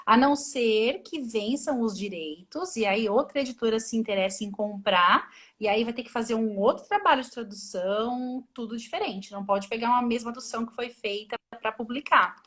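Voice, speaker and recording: A woman's voice: on the high side, normal speed, hardly any pauses, fairly bright tone. A woman translator, a guest on a live video stream, talking casually and thinking aloud with many restarts. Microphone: phone or laptop mic on a video call.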